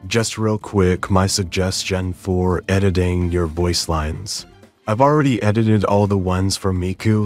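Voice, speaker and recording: A man narrating a step-by-step software tutorial in a casual, explanatory way, as the host of the lesson. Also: low voice.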